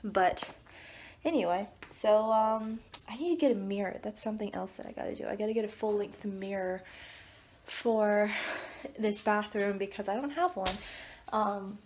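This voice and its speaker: A woman's voice: medium pitch, normal speed, darkish tone, slight background noise. A young woman vlogging casually to her phone camera in a bathroom, rambling with lots of ums and likes, a bit stuffy and congested. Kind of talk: casual talk to camera while getting ready. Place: home bathroom.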